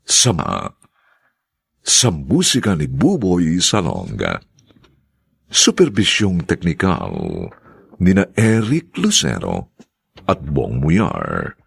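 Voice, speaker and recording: A man's voice: low voice, huge pitch swings, slow pace, vocal fry, pauses often, breathy edge, no room sound. A man narrating and announcing a radio drama in a solemn, formal, theatrical style.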